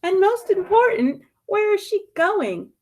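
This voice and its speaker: A woman reading a picture book aloud expressively, with deliberate dramatic emphasis and pointed pauses. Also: very high voice, big pitch swings.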